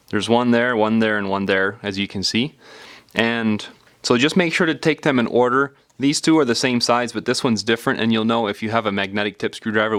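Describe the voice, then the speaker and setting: A man's voice: fairly low pitch, fairly bright tone, clear, resonant tone. A man giving casual, step-by-step hands-on instructions as a repair tutorial presenter, patiently explaining in an informal, folksy way.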